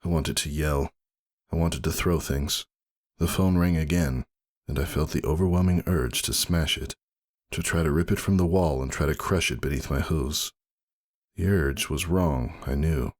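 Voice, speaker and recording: A man narrating a first-person story dramatically, in a brooding, measured and theatrical delivery. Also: very deep voice. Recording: music behind the voice. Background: music.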